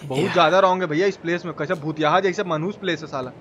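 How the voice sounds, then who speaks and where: A high-pitched man with a Bihari Hindi accent commentates live on a game. He is slangy and excitable, repeating phrases as he goes. Game noise is clearly audible behind him. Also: very fast. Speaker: game streamer. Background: horror game sound effects and ambience.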